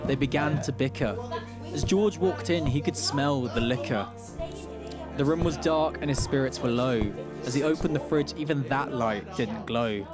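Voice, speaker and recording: High-pitched male storybook narrator over music. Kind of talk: rhyming storybook radio narration.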